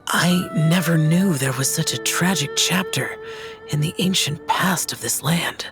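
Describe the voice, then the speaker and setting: An actor voicing a gentle, caring, composed guardian in a video game, formal, soft-spoken and reflective. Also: mid-range voice, huge pitch swings, measured pace, over music.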